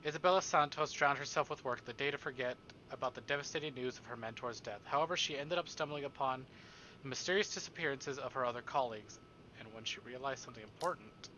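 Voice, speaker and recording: A man's voice: medium pitch, quick pace, pushed and bright, highs cut off, a little hiss or hum. A man livestreaming a visual novel, reading it aloud dramatically and adding casual joking asides.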